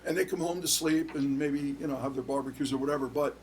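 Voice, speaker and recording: An older man giving plain-spoken, somewhat halting answers as an interview guest. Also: a little high, fairly even intonation, slight background noise, rough recording. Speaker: interview guest, retired fire official and school principal. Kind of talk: conversational interview.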